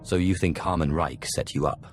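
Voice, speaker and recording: Very deep, cool young Sith. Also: male voice, faint music behind. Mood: terse. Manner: measured, dry, terse. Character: cool, self-assured young male Sith. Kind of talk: video game voice acting.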